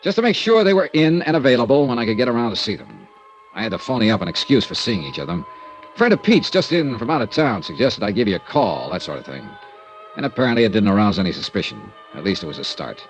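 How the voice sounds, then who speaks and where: A man's voice: on the low side, sing-song, quick pace, soft background music, low-quality recording. A man playing a hard-boiled male insurance investigator in a 1950s radio drama, with clipped, dry tough-guy delivery, narrating and questioning. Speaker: lead actor and narrator.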